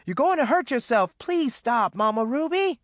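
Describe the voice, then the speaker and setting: Man acting an alarmed, high-pitched feisty young woman. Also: sing-song, normal speed, no room sound, clean studio sound. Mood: frightened pleading. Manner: urgent hollering and begging. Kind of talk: audiobook character acting.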